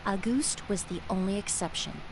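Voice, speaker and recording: A woman playing a poised, courteous young perfumer in an anime-style video game, explaining things in a measured, articulate way. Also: medium pitch, background noise, natural voice. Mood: measured, explanatory. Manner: measured, articulate, polite storytelling. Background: music.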